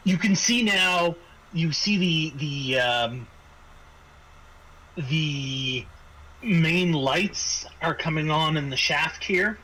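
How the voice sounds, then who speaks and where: A man narrating a tabletop roleplaying game as game master, halting and repeating words as he improvises. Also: fairly high pitch, sharp tone, rough recording.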